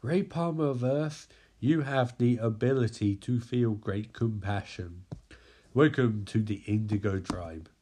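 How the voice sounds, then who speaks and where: A man's voice: lowish voice, unhurried, warm tone, breathy edge. A man voicing a power ring from a comic book that proclaims its chosen bearers in solemn formulas. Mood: proclaiming.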